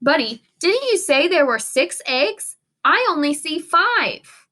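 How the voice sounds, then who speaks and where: Woman playing a plucky, high-voiced little girl dinosaur. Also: sing-song, takes it slowly, bright, forceful tone, full character voice. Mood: puzzled. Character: Tiny, observant, plucky young girl dinosaur in storybook.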